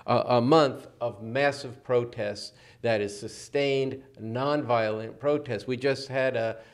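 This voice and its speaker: An American man co-hosting political commentary, halting and emphatic, repeating phrases as he builds his points. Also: medium pitch, steady tone. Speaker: show co-host.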